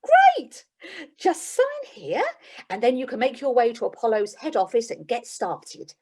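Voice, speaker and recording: A woman's voice: a little high, wildly swooping pitch, a little slow, darkish tone, husky, full character voice. A woman playing a businesslike, slightly weary job agent in a comic radio play. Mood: dry. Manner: businesslike, dry, faintly exasperated. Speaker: employment agent.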